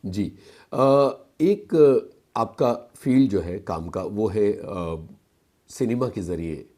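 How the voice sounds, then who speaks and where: A man's voice: lowish voice, lively intonation, very slow, mellow. A man interviewing politely in a radio studio, with courteous, slightly hesitant phrasing.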